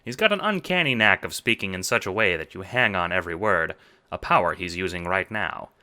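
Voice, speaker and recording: A man narrating a second-person audio drama in a dramatic, deliberate way. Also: deep voice, lively intonation, bright, forceful tone. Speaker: narrator and producer.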